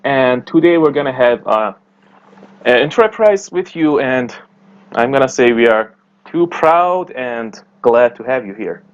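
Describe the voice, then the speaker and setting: Interviewer guy, lively up and down voice, hesitant formal wording, kinda dull sound. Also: medium pitch, measured pace. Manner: hesitant, formal phrasing, frequent backchannel. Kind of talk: interview questions.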